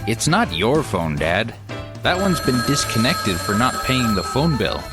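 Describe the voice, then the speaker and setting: Woman playing a very deep-voiced nagging relative. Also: very animated, measured pace, over loud music, full character voice. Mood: matter-of-fact. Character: matter-of-fact, nagging family member of a comedy crew. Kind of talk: comedy radio play acting.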